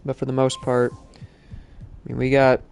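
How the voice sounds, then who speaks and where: Congested male streamer with gravelly voice. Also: mid-range voice, monotone, unhurried, darkish tone, soft background music. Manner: casual, rambling, many fillers. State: congested from allergies. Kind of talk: live-stream gaming chat.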